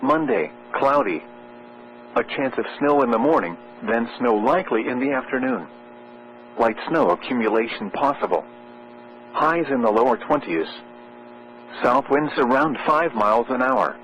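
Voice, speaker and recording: A man's synthetic announcer voice formally reading a scripted weather bulletin in measured phrases over weather radio. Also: medium pitch. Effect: weather radio transmission. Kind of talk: scripted weather forecast and warning readout. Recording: muffled weather radio with mains hum. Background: steady electrical hum.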